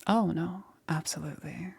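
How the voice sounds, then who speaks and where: Casting: a woman plays soft-spoken witch necromancer for ASMR roleplay; gravelly fry, husky half-whisper, calm and eerily gentle. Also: low-pitched, heavily acted. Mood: soft, ominous. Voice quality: breathy, often dropping into whisper. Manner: unhurried, halting with pauses, soothing.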